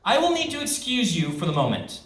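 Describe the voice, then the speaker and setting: A man's voice: high voice, wildly swooping pitch, a little fast, fairly bright tone, pitched above the performer's own voice, exaggerated voice. A man reading the part of a secretive, domineering king with a dismissive, commanding manner. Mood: brisk. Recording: echoey event room, distant microphone.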